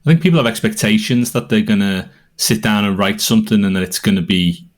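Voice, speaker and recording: Thoughtful low-voiced Liverpool man. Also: a little fast. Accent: Liverpool English. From England. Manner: thoughtful, rambling, with fillers and restarts. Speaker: songwriter and podcast co-host. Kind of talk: conversational interview answers.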